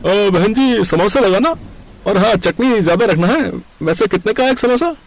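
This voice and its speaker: A man's voice: high-pitched, expressive, normal speed, low-quality recording. A man voicing a friendly, hearty customer in a cartoon story, speaking expressively. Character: friendly male snack customer, appreciative, hearty.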